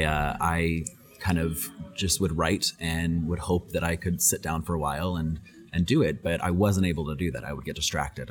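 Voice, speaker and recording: A young adult man, a guest on a podcast, answering questions thoughtfully and often repeating words as he thinks. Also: deep voice. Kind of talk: casual interview answers.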